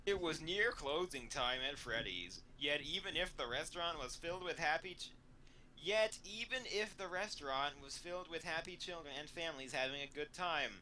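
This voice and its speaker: Bright, sharp-voiced man with dry quips. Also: a little high, animated, moderate pace, a little hiss or hum, poor audio. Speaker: co-host of a fan fiction reading show. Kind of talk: casual banter and mocking read-aloud.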